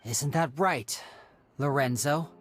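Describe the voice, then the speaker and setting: A composed young game magician, with a slightly low voice. The delivery is measured, deliberate and quietly confident, explaining like a detective over faint music. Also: female voice. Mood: pointed, confident. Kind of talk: video game voice acting. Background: faint background music.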